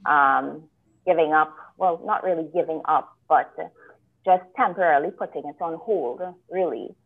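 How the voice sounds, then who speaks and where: A woman's voice: low-pitched, moderate pace, husky. Woman on a tinny call line. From Trinidad and Tobago. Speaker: guest, attorney. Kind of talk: conversational interview.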